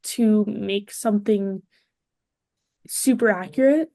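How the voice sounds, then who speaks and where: A woman's voice: medium pitch, takes it slowly, warm tone, no room sound, clean studio sound. A woman guesting on a podcast, chatting casually and enthusiastically about movies.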